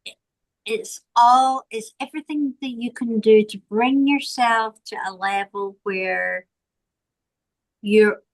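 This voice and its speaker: A woman guest on a podcast, an intuitive artist, speaking in a measured, earnest way. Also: on the high side, rough recording. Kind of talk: casual podcast conversation.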